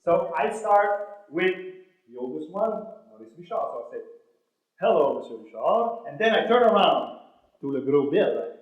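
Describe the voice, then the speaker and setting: French canadian guy doing motivational keynote, high voice, acts out his stories, super echoey hall. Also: normal speed, phone-quality sound. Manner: animated storytelling, acting out dialogue. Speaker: motivational speaker. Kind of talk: motivational keynote speech to an audience. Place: large room, speaking to a live audience.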